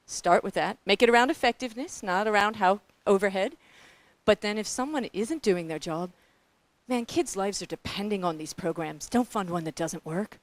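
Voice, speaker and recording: A middle-aged woman from New Zealand with a New Zealand accent, speaking on a conference panel from a grantmaking foundation in a blunt, candid, animated way, with asides to the audience. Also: medium pitch, no echo.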